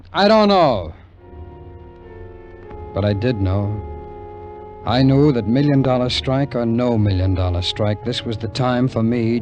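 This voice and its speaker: An actor playing a wary, cynical American ex-soldier who tells his story in slangy, wry 1950s radio drama narration. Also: medium pitch. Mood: reluctant. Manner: slangy, hard-boiled, wry. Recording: vintage radio recording with music.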